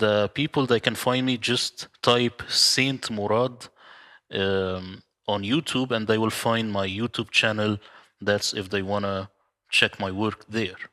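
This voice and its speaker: A man from the Middle East, a native Arabic speaker, who talks in an earnest, measured way. He is an interview guest joining over a video call. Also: lowish voice, fairly even intonation, bright, forceful tone.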